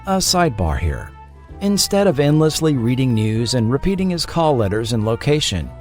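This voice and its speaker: An American man hosting a podcast with scripted documentary narration, deliberate and dramatic at the ends of phrases. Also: fairly high pitch. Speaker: podcast host.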